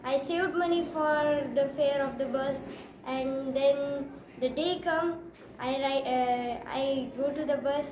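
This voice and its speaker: A woman's voice: sits high, fairly even intonation, takes it slowly. A teenage girl with an Indian accent, a student recounting an experience in a classroom dramatization. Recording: roomy classroom with crowd murmur.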